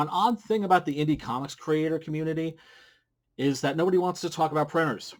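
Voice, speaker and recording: A middle-aged man hosting a casual how-to vlog, talking in a blunt, informal way. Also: medium pitch. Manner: blunt, informal, mildly profane. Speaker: comic book creator and video series host. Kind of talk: casual instructional vlog to camera. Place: small wood-panelled room at home, indoors. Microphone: large studio mic on boom arm with pop filter.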